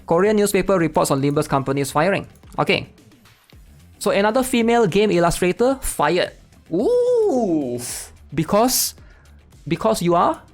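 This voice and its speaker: A man's voice: high voice, sing-song, normal speed, soft background music. Chatty man reading posts aloud. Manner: chatty, tags sentences with "right?". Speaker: gaming channel host.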